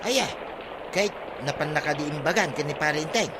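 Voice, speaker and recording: Higher-pitched grumbling village husband played by a man. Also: moderate pace, noisy background, lightly acted. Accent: Filipino, Ilocano. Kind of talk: Ilocano radio comedy drama acting.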